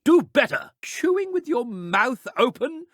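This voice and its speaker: A haughty school authority from a video game, forever scolding. The voice sits high and swoops wildly with theatrical disdain, recorded dry. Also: male voice, big pitch swings, unhurried, fairly bright tone, dry recording, heavily acted. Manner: haughty, barking reprimands. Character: pompous, scolding Ministry-appointed school authority, easily exasperated.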